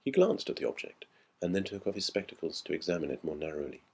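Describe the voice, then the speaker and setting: A man narrates an old-fashioned ghost story as a scripted reading. His voice sits very low and has a husky grain. The delivery is measured and dramatic. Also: very animated. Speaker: audiobook narrator.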